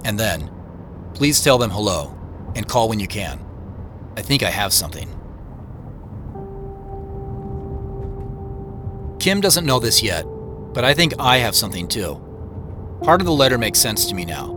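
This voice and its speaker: Low-pitched thoughtful man, measured and intimate, first-person audio drama narration, music underneath. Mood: reflective. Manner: measured, reflective, intimate storytelling. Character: Joseph, thoughtful, nervous man retracing family memories. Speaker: narrator and lead character.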